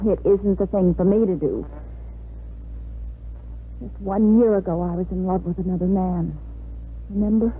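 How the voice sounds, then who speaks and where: An actress playing a disillusioned young bride-to-be in a 1950s radio drama, with a wry, world-weary manner. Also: female voice, fairly low pitch, normal speed, long pauses, breathy edge. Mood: bitter, resigned. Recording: muffled, noisy 1950s radio recording.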